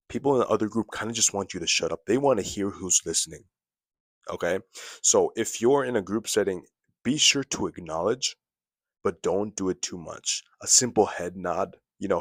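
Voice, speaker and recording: A young man with a very deep, low voice talks straight to the camera, giving casual advice. He often repeats phrases and checks in with a quick "okay?" The sound is dry and close, with no room echo. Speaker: self-improvement vlogger.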